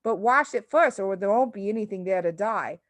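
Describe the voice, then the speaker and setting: A woman's voice: medium pitch, expressive, moderate pace, no echo, lightly acted. A woman voicing a scornful, nagging mother in a storybook, shrieking and scolding her husband. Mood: matter-of-fact, scornful.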